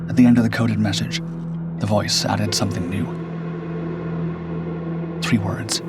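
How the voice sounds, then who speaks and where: A man tells a suspense story in a grave, measured way. His voice is low and gravelly with fry, and his intonation stays very even. Music plays clearly behind him. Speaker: horror story narrator. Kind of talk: scripted first-person suspense narration. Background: background music.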